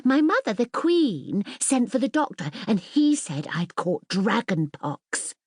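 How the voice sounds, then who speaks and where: A woman's voice: a little high, very animated, measured pace, slightly rough, dry recording, studio quality, voice pushed up, heavily acted. A woman voicing a boastful, mischievous young princess in a grand, bossy, theatrical manner for a children's audiobook. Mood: grand, indignant.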